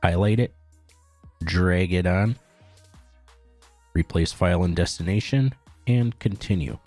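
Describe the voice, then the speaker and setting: Very deep-voiced man giving a tutorial. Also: a little slow, darkish tone, no room sound, soft background music. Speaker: tutorial host. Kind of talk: step-by-step software tutorial narration.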